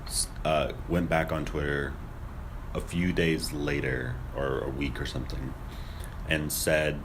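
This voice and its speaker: A man's voice: very deep voice, little pitch movement, measured pace. A young man chatting casually as a podcast co-host, with a skeptical, questioning manner. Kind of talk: casual conspiracy discussion. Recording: noisy room recording.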